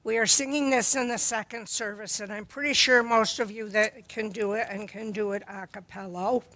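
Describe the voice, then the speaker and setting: A woman speaking at a church service in a slow, deliberate, homespun way, with what she calls a low voice. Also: steady tone, a bit bright. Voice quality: describes her own voice as low. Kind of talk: gentle spoken reflection and hymn lead-in. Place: church sanctuary.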